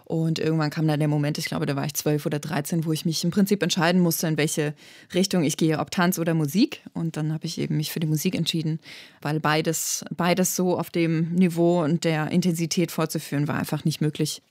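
A woman's voice: deep voice, flat delivery, a little fast, a little mellow, no echo, clean studio sound. A young woman from eastern Germany, a musician answering interview questions in an earnest, thoughtful way, often restarting her phrases. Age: young adult. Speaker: guest musician.

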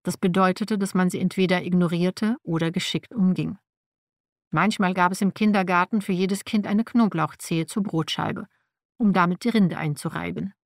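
A woman's voice: on the low side, normal speed, dry recording, studio quality. A woman whose first language is Slavic reads a personal nonfiction text aloud in a wry, matter-of-fact way. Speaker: narrator.

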